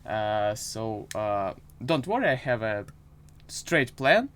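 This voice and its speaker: A man in his twenties with a Ukrainian accent hosting a casual interview in hesitant, halting English. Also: lowish voice, sing-song, fairly bright tone, slight background noise. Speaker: podcast host, interviewer.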